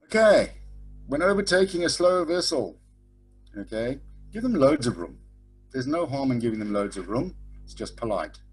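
Husky man giving a sailing lecture. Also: mid-range voice, very animated, normal speed, pauses often, soft background music. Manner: frequent "okay?" tag questions; blunt, informal. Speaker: sailing instructor. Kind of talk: online lecture. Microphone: webcam over video call.

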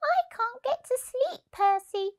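A woman's voice: very high voice, lively intonation, slow pace, pitched above the performer's own voice, heavily acted. A woman voicing a small storybook squirrel who complains in a plaintive way. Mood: miserable. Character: small, cold, easily cross squirrel.

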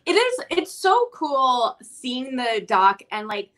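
A woman's voice: high-pitched, sing-song, takes it slowly, fairly bright tone. A young woman hosting a casual video-call interview, bubbly and encouraging. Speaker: host. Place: home room, indoors. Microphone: video call webcam.

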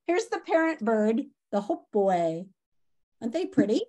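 High, animated woman reading aloud. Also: a little slow. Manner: expressive, dramatic storytelling with questions to listeners. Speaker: teacher reading aloud to children. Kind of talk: animated read-aloud of a nonfiction children's book with asides. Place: small room at home.

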